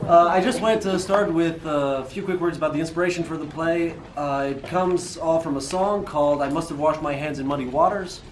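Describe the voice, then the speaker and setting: Guy introducing his play, kinda hesitant, lots of uhs, slightly high voice, faint hiss. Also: fairly quick, few pauses. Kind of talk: casual spoken introduction.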